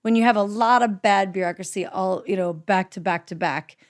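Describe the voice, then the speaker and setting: A woman's voice: medium pitch, normal speed. A woman co-hosting a podcast, giving casual, encouraging advice and laughing often. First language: English. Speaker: podcast co-host. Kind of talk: casual chat.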